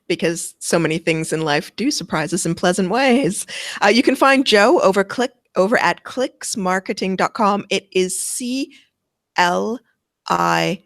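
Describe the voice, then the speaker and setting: A woman's voice: lowish voice, dry recording, clean studio sound. A woman with a Canadian accent, co-hosting a marketing podcast in a joking, chatty manner. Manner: joking, laughs often. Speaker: co-host. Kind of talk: casual podcast chat.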